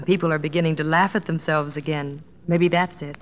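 An actress playing a devoted, sensible middle-aged American wife, tender and gently fussing, in 1950s radio drama style. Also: female voice, sits low, steady tone, fairly quick, slight background noise, lightly acted. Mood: tender, encouraging. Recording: dull old radio recording, background music.

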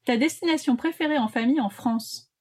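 A woman's voice: high-pitched, fairly quick, a little mellow, slightly rough. Woman asking casual interview questions. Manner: brief friendly interjections.

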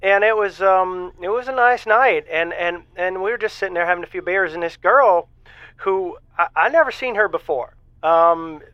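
A shy, lonely bouncer phoning in to an audio-drama radio show. His voice is unusually high for a man, folksy and halting, with words repeated. The sound is slightly dull and very dry. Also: pushed and bright, clean tone, no room sound. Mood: bashful wonder. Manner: halting, repetitive, folksy storytelling. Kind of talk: semi-improvised audio drama acting.

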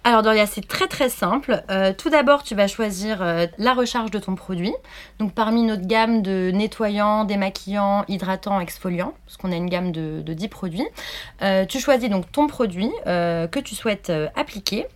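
Thirties woman guest, mid-pitched, walks through details with fillers. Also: normal speed. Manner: explanatory, filler-heavy. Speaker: marketing lead, guest. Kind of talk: casual interview explaining.

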